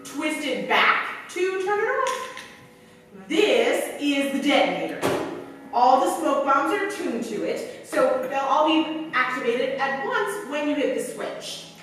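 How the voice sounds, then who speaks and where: Eva, a woman playing a high, swooping gadget inventor. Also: sing-song, takes it slowly, fairly bright tone, faint music behind, full character voice. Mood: instructing. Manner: teasing, swooping, theatrical. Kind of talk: staged 1940s-style radio play acting. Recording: echoey hall from far off, faint noise.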